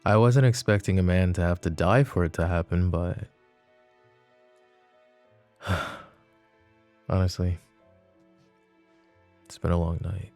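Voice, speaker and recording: A man acting a flirty, possessive mafia boss in an intimate ASMR roleplay, speaking softly and unhurriedly in a teasing, world-weary way. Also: low voice. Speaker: voice actor.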